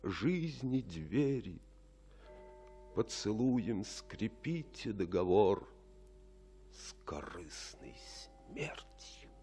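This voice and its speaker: A man's voice: on the low side, big pitch swings, drawn-out words, warm tone, soft background music, full character voice. An actor playing a grief-stricken young lover in a radio tragedy, solemn and theatrical as he bids life farewell. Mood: solemn despair. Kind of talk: slow tragic radio-play verse acting.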